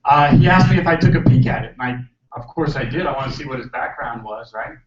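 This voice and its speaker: A man's voice: mid-range voice, fast. A man hosting a live event, giving a jokey, hyped stage introduction to the crowd. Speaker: event host introducing a speaker. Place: event hall. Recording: echoey room, distant mic, dull sound.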